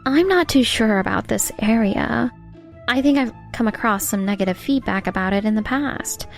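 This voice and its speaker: A woman's voice: on the high side, animated, moderate pace, gravelly. A woman voicing a careful, perceptive young secretary in an earnest manga dub style. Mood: hesitant. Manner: earnest, professional. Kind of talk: manga dub dialogue acting. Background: faint background music.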